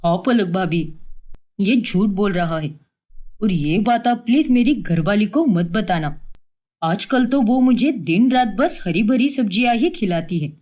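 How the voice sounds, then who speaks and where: A woman's voice: mid-range voice, lively intonation, a little slow, dark tone. A woman voicing a grumbling, scheming husband in a cartoon story, complaining in a matey way. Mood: pleading. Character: grumbling, scheming husbands fed up with home cooking.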